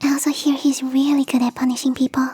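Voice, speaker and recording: A woman voicing a young catgirl gardener in an ASMR roleplay, teasing, coy and playfully smug, with a breathy, whispery voice. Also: high-pitched, level tone, quick pace, warm tone, no room sound. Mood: sly, mock threatening. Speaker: voice actress.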